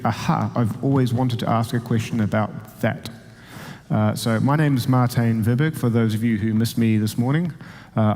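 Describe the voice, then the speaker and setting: A man with a New Zealand accent moderating a conference panel in a joking, self-deprecating, brisk way. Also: medium pitch, a little mellow. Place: large conference auditorium. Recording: long hall reverb.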